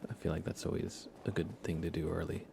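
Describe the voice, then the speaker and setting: Low gravelly man, casual ASMR gaming. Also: little pitch movement, fast talker, noisy background. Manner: casual, rambling, thinking aloud. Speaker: livestreamer. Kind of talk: relaxed ASMR game commentary.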